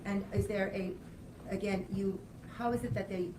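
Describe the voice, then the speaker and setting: A woman examines a witness in formal courtroom style. Her mid-pitched voice is picked up from a distance, with noticeable noise around it. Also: steady tone, moderate pace, roomy sound. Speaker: attorney questioning a witness. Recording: noisy distant courtroom audio.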